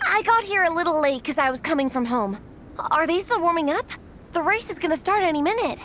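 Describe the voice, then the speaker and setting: A woman's voice: very high-pitched, animated, a little fast, a bit bright, a little hiss or hum. A woman voicing an earnest, polite young girl in an anime game. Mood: casual. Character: earnest, polite teenage girl eager to prove herself. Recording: music behind.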